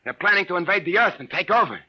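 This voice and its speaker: A man's voice: high voice, expressive, fast talker. A man playing a brash, rattled private detective, slangy and blunt, in 1950s radio drama style. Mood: relieved, amazed. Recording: muffled vintage 1950s radio recording.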